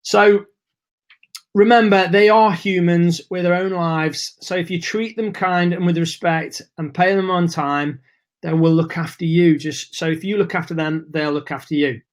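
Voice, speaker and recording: A man's voice: high voice, fairly even intonation, normal speed. Chatty man, losing his voice. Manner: chatty, informal, reads and answers chat messages. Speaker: webinar host. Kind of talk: live webinar presentation.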